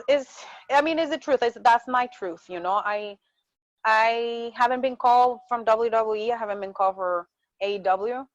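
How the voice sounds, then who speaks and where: A woman's voice: on the high side, no echo. A woman from Mexico with a Mexican accent, talking passionately in a casual interview and often repeating words as she searches for them. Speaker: wrestling champion guest. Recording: dull muffled dry sound.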